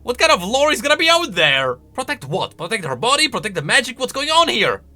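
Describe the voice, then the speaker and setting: A man giving casual, opinionated reaction commentary on video game lore in an animated, conversational way. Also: extremely high pitch, brisk. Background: faint music.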